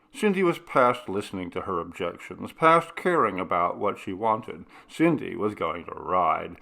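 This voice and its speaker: A man's voice: fairly low pitch, creaky, a bit bright. A man narrating a ghost story as a scripted, dramatic audiobook reading.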